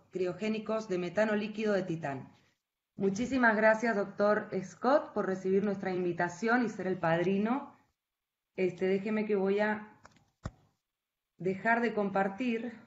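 A woman hosts an online seminar and formally introduces the guest speaker, polite, with a few fillers. Her voice carries a roomy echo. The call audio is dull and lo-fi. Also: medium pitch. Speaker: seminar host. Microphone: video call.

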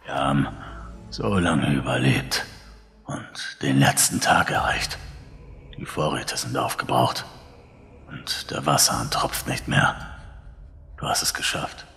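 A sinister voice from inside a bunker survivor's mind, gravelly and menacing. It speaks extremely slowly in a horror tale, soaked in long reverb with music behind. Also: male voice, very deep voice, pushed and bright, pitched below the performer's own voice, full character voice. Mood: grim, weary. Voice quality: gravelly, breathy at times. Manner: slow, menacing, drawn-out. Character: sinister male inner voice haunting a lone bunker survivor. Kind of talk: German horror audiobook narration.

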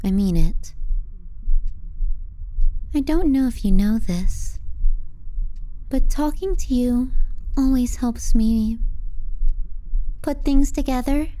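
A woman playing a possessive, menacing younger sister in an intimate ASMR roleplay, soft and breathy, often near a whisper. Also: sits high, voice pushed up, low-quality recording. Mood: tender, teasing. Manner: slow, tender yet threatening. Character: possessive, menacing little sister murmuring to sleeping brother.

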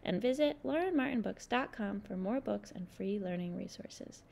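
A woman narrating a children's storybook read-aloud in an expressive way, addressing young listeners. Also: medium pitch, moderate pace, gravelly, slight background noise.

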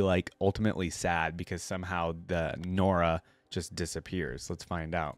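A man's voice: on the low side, no echo. A young man hosting a reaction video, casually commenting and reading lore aloud in an easygoing, conversational way into a close desk microphone. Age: young adult. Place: indoor room at a desk.

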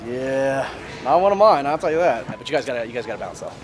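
A man's voice: mid-range voice, expressive, quick pace, a crowd in the background. A man in his thirties talking casually as a store manager, easygoing but firm, caught on a camera a few feet away in a store. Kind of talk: casual workplace talk. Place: large retail store aisle.

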